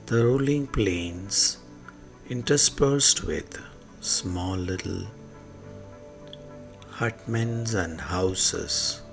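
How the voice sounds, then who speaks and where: A man with an extremely deep voice guides a sleep meditation very slowly. His delivery is hushed, with long pauses and a gravelly fry in the low notes. Phrases often trail off into breath. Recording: soft music behind the voice. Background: soft music.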